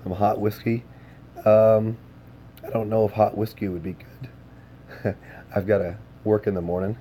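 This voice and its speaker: Man, hoarse voice, low pitch, mellow tone, casual livestream chat, relaxed. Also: slight background noise. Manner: easygoing, chats with viewers, many filler words. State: hoarse, lost voice. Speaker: game streamer.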